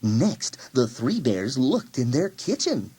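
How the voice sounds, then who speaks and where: A woman narrating a children's story expressively, with gentle, exaggerated emphasis for young listeners. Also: a bass voice, very animated, slow pace, breathy edge. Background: music.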